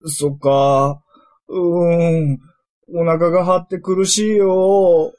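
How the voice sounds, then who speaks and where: A man's voice: a little high, level tone, slow, darkish tone, pitched above the performer's own voice. A man playing a dim-witted, troubled young male in a comic radio drama, whining and repeating himself oddly.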